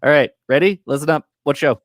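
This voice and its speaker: A young man co-hosting a theatre podcast, chatting in an expressive, playful way on a video call. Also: fairly high pitch, fairly quick, dry recording, clean studio sound. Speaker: co-host of a musical theatre podcast. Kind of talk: casual, joking chat on a livestreamed quiz show. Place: indoor room, video call.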